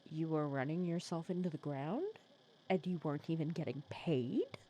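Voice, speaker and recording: A supervillain nemesis with a gentle, protective streak, played by a woman for an ASMR roleplay. Her voice sits a little low and is very mellow and relaxed. She speaks softly and conversationally, with wry teasing and small hesitations. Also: normal speed, highs cut off. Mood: indignant, protective. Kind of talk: ASMR roleplay audio drama.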